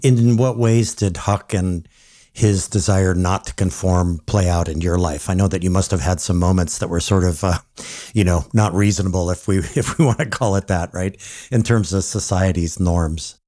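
A man's voice: low voice, normal speed, mellow. A man from Hawaii hosting a podcast, asking reflective, conversational questions of his guest. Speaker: podcast host. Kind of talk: podcast interview.

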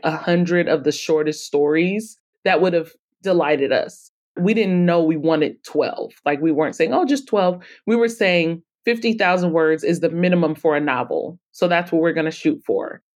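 A woman's voice: deep voice, a little mellow. A woman speaking as a guest in a conversational podcast interview, explaining her points emphatically. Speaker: guest author and anthology publisher.

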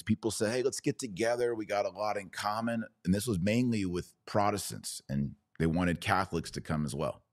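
A man's voice: sits low, a little mellow, no room sound, studio quality. A man hosting a religious podcast, giving emphatic, dogmatic commentary in short punchy phrases with rhetorical questions. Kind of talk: opinionated religious commentary, talking to listeners.

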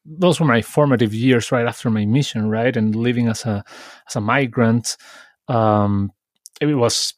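A man hosts a conversational podcast, recounting memories in a reflective, easygoing way. His voice sits at a medium pitch and is recorded dry and close, with no room sound. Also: measured pace, no echo. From Chile. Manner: reflective, storytelling, lightly laughing. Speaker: podcast host.

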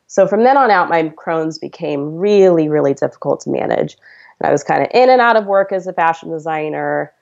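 A woman's voice: deep voice, vocal fry, darkish tone, dull audio. A woman in her thirties, a podcast guest, telling her story in an earnest, chatty way. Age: 30s. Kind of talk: conversational storytelling.

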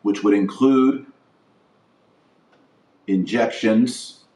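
Low-voiced American man in an echoey room. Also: a little mellow. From United States. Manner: emphatic, deliberate phrasing with stressed pauses. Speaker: podcast host. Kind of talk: political platform monologue, speech-like. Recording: roomy echo, distant from mic.

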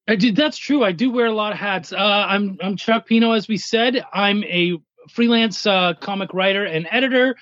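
A man from Canada with a notably high voice, heard over a dull, dry call-in line. He talks casually and chattily as an interview guest, informal and a touch self-effacing. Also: moderate pace, a bit bright, dry recording. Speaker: podcast guest, comic writer and editor. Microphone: remote call-in.

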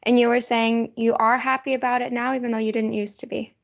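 A woman's voice: a little high, little pitch movement, brisk, gravelly, lo-fi sound. A woman interviewer speaking in a casual, conversational way, asking tentative, considerate questions. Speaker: podcast interviewer.

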